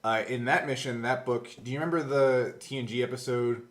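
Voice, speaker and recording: A man with an average-pitched voice talks casually as a game master looking back on a show. He explains things in a nerdy, rambling way and swears casually. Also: fairly even intonation, brisk. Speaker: podcast co-host and game master. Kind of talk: casual behind-the-scenes recollection chat.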